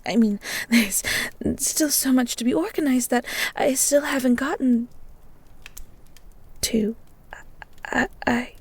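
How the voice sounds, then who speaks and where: A woman playing a shy, bookish young woman in a romantic audio roleplay, stammering and halting in a soft, breathy voice. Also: high-pitched, heavily acted. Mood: startled, hurt, then tender, pleading. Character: shy, flustered young bookish woman living in a beast's castle.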